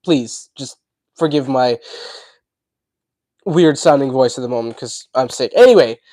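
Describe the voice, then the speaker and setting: A man hosting a casual livestream, talking in a chatty, rambling way while congested and hoarse from a cold. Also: mid-range voice, a little slow, mellow, no echo, studio quality. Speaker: livestream host.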